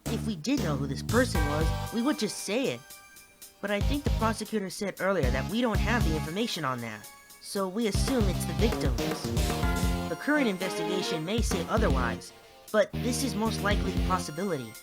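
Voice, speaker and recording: A man voicing an excitable, friendly cheetah police officer testifying in a fan audio drama, chatty and earnest. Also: high-pitched, fairly quick. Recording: loud music under the voice. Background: loud music.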